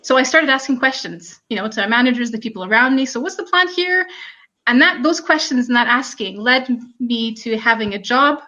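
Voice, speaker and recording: A woman's voice: on the high side, lively intonation, a little fast, sharp tone. Canadian woman telling her career story. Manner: conversational, frequent ums and "you know". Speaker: panel speaker. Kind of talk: personal career story talk. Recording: muffled lo-fi audio.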